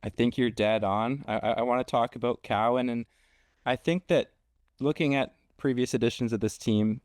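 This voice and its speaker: A man hosting a casual sports interview, thinking aloud haltingly and repeating words as he builds his questions. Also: mid-range voice, darkish tone, no echo, clean studio sound. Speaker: podcast host interviewing a guest.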